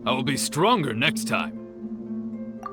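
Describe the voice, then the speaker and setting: Humble martial fighter, calm, sincere, mid pitch, game music behind. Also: male voice, takes it slowly, heavily acted. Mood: reflective. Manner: calm, plain-spoken, sincere. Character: earnest male tournament fighter, humble.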